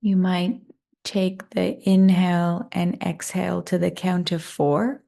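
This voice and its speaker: A woman leading a guided meditation in a soothing, unhurried and gently inviting manner. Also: fairly low pitch, level tone, vocal fry.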